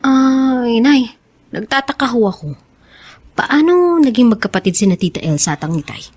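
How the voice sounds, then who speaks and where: A young student daughter in a Filipino radio drama, outspoken and resentful toward family wrongs. Her voice is slightly high, with a very dry, close sound. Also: expressive, moderate pace, a little mellow, dry recording. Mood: puzzled, respectful.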